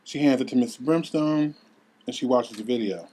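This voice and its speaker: Man narrating a screenplay at mid pitch. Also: moderate pace, mellow. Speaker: narrator of a script table read. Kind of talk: scripted narration of stage directions.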